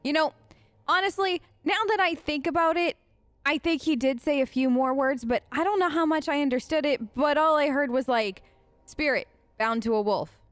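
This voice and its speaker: Female let's play host, fairly high voice, conversational improvised role-play, close and dry. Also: moderate pace, no echo. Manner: chatty, conversational.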